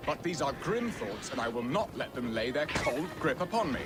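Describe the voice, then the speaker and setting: A man's voice: medium pitch, big pitch swings, normal speed, loud music behind the voice, natural voice. An actor playing a jovial, romantic, middle-aged medieval nobleman in a video game, speaking in flowery, archaic phrases. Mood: wistful, confiding. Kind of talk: video game cutscene acting.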